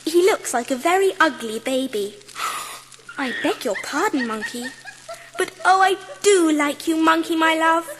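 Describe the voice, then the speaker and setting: A woman playing a gentle, well-spoken young schoolgirl with a British accent and a light, youthful voice in an audiobook drama. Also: extremely high pitch, animated, a little slow, long reverb tail, slight background noise, full character voice. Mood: tender, delighted. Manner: well-spoken, sweet, earnest. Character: gentle seven-year-old schoolgirl, tender, polite, fond of animals.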